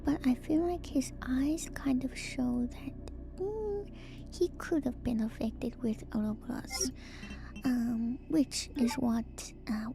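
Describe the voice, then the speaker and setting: Female ASMR streamer, breathy whisper with fry, musing and unhurried, close-miked. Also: fairly high pitch, dark tone, a little hiss or hum, rough recording. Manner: hesitant, musing, unscripted. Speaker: livestreamer host. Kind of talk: whispered ASMR video game lore commentary.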